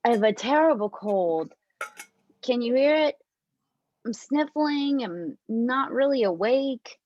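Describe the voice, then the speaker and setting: Casting: flustered, wounded romcom heroine with a cold played by a woman, highish voice, halting and unhurried. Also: animated. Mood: flustered, evasive. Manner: halting, deliberate, with hesitant restarts. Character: flustered, wounded woman sick with a cold, romantic comedy lead. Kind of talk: amateur script read-through of a romantic comedy. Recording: dull, roomy home recording.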